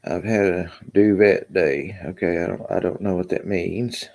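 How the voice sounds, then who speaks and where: A man's voice: low-pitched, normal speed, gravelly, darkish tone. A man from Kentucky with a Kentucky accent hosts a rambling, casual live stream and reads out chat comments, with lots of ums. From Kentucky, USA. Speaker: livestream host.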